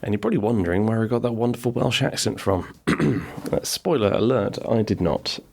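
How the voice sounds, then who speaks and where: Middle-aged man, podcast host, low voice, rambling, self-deprecating chat. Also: brisk, vocal fry, darkish tone. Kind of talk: casual chat. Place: small home-built pub in a garden outbuilding.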